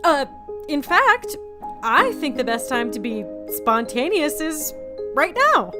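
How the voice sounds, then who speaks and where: Woman playing a flustered, high-voiced, animated office newcomer. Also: slow pace, over music, exaggerated voice. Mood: eager. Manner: flustered, stammering, apologetic. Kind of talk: audio drama acting.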